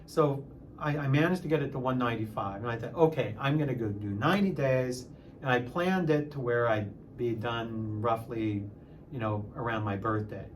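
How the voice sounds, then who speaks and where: A man of sixty talking casually in a personal vlog, halting at times and repeating phrases for emphasis. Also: medium pitch, a little hiss or hum, low-quality recording. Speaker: music teacher and channel host.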